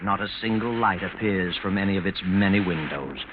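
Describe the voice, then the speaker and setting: A man acting as a sinister, haunting, all-knowing narrator of an old radio mystery, deliberate and ominous. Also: low voice, lightly acted. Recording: noisy, tinny old radio broadcast recording.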